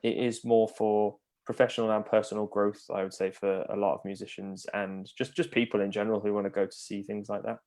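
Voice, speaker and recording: A man hosting a casual interview, quick and joking, laughing easily. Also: fairly low pitch, level tone, a little mellow. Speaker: interview host, promoter.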